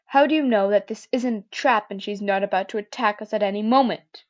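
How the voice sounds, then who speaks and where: A woman's voice: medium pitch, brisk, muffled recording, lightly acted. A young woman voicing a tense, suspicious male student in a fan-fiction audiobook, with raised, clipped lines. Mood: alarmed.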